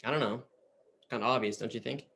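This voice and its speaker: A man's voice: medium pitch, steady tone, fast, vocal fry, fairly bright tone. An awkward, earnest young man. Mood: sincere. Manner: shy, sincere, a little goofy. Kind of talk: stage reading of a comic play. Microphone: video call.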